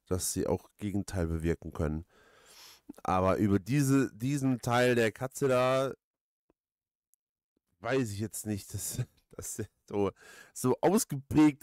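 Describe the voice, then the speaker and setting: A man giving casual let's play commentary, haltingly thinking aloud and repeating his words. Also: lowish voice, darkish tone, no echo, studio quality. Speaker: let's player. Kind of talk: casual, rambling game commentary.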